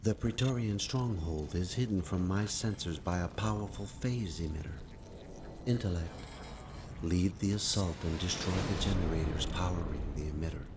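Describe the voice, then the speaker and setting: Low-voiced solemn male machine intelligence. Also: noisy background. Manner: formal, grave, measured. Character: calm, solemn, zealously protective male satellite artificial intelligence. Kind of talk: scripted video game acting.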